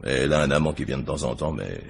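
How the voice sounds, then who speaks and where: A man's voice: rumbling low, expressive, quick pace, creaky, slight background noise, exaggerated voice. An actor playing a diligent, chatty police inspector in a French radio crime drama, reporting to his superior with informal hesitations and wry asides.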